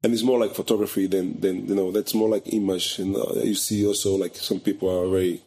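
A middle-aged man from Brazil who speaks English with a Brazilian Portuguese accent, his first language being Portuguese. His voice sits fairly low. He talks as an interview guest in a loose, conversational way, acting out the people he quotes with halting phrasing. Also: little pitch movement, dark tone, husky. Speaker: guest, photographer.

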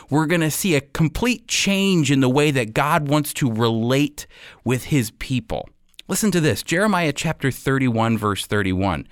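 A man giving a radio Bible teaching, explaining and reading scripture with emphatic, deliberate phrasing. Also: fairly high pitch, no echo, studio quality.

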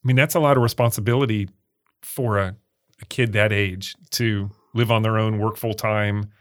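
This interviewer asks short, steady follow-up questions to draw out the guest's life story. The voice sits at a middle pitch. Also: moderate pace, no room sound, clean studio sound. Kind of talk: oral history interview.

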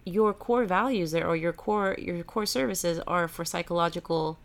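A woman's voice: fairly low pitch, normal speed. A woman hosting an interview, affirming and encouraging her guest as she asks questions. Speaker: host.

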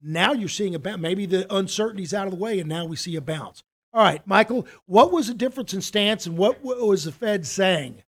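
A man with a fairly high voice hosts a relaxed podcast. He talks loosely and bluntly, setting up each topic before passing the floor. His delivery is plain and conversational. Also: a little fast, few pauses. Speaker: podcast host. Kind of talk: casual podcast hosting.

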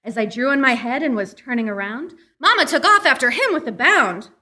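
A woman's voice: high voice, animated, a little fast, sharp tone. A woman performing a comic parody poem live with theatrical storybook delivery. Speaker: live variety show performer. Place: live event at a comic book shop.

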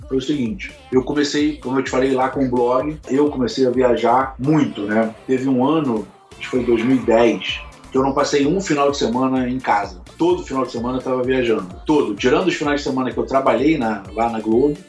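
A Carioca man telling stories in a casual interview, full of fillers, restarts and repeated words. His voice sits at a middle pitch. The room is echoey, with soft music playing underneath. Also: a little fast, few pauses. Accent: Carioca Portuguese. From Rio de Janeiro, Brazil. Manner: animated storyteller, many fillers and repeated words. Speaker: guest, lighting photographer.

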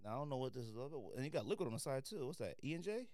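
Lively American man bantering on a podcast. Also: mid-range voice, rapid-fire, no room sound, clean studio sound. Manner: slangy, teasing, questioning. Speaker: podcast host. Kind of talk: casual sneaker podcast banter.

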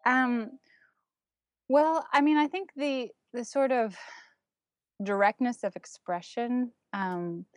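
A woman's voice: on the high side, takes it slowly, long pauses, dry recording. A woman with German as her first language, a guest artist talking over her path, thoughtful and full of ums and restarts. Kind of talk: casual interview, recounting her path.

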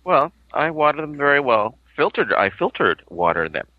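A man co-hosting a casual podcast from a couch at home, rambling and giggly, with sudden theatrical jumps up in pitch. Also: big pitch swings, normal speed, no echo, phone-quality sound. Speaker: podcast co-host. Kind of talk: casual chat. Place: living room at home, on a couch.

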